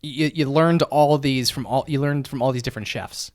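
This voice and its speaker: A man's voice: mid-range voice, normal speed, darkish tone, no echo, clean studio sound. A man hosting a podcast, agreeing and prompting his guest with short casual questions. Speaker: podcast host. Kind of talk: casual interview talk. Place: podcast studio.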